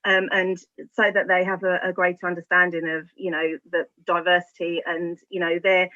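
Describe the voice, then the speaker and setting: A woman's voice: fairly low pitch, flat delivery, normal speed, bright, forceful tone. A woman explaining things informally as a school staff member, in hesitant, loosely structured phrasing. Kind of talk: informal explanation in an online discussion. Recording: slightly muffled, band-limited audio.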